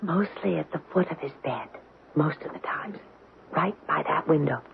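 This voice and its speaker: A woman's voice: low voice, creaky. A woman playing an anxious young mother in a haunted house, speaking hesitantly in a radio drama. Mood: uneasy. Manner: hesitant, halting, stammering. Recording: tinny, noisy old radio broadcast.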